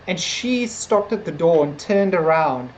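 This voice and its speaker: A man's voice: on the high side, animated, a little slow, poor audio. Middle-aged male co-host, rambling and tentative, casual review, audible background noise. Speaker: podcast co-host. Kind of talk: casual discussion of a TV series episode.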